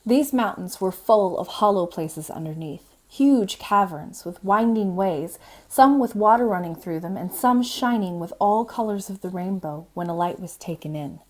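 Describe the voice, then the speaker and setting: A woman reading a storybook aloud as a librarian host, in an expressive, measured storytelling manner. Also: medium pitch, poor audio. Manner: expressive, measured storytelling with brief informal asides.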